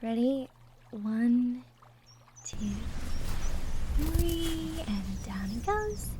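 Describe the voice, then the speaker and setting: A woman playing a gentle, cheerful young cottagecore woman from the woods in an intimate roleplay audio, soft-spoken, giggly and sweet. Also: a little high, big pitch swings, drawn-out words, background noise, exaggerated voice. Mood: gentle, welcoming. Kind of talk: roleplay audio drama, intimate one-sided conversation.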